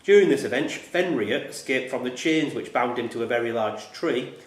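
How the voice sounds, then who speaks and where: A man's voice: medium pitch, expressive, normal speed. A man acting as quizmaster, reading quiz questions aloud in an echoey club room.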